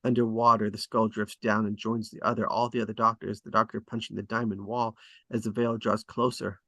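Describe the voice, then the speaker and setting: Low-voiced man reading stage directions, very dry sound. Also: flat delivery, brisk, fairly bright tone, no room sound. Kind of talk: scripted narration at a table read.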